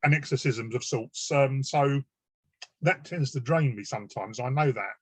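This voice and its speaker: A man's voice: mid-range voice, normal speed, husky, dry recording. A man in his sixties hosting an interview, effusive and enthusiastic, often repeating words as he talks. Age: 60s. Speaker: host. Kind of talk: casual interview chat.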